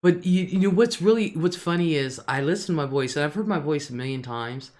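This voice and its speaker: An older woman with a deep alto voice, casually chatting on her live stream and reading out viewer comments, laughing often between remarks. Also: animated, a little fast, darkish tone.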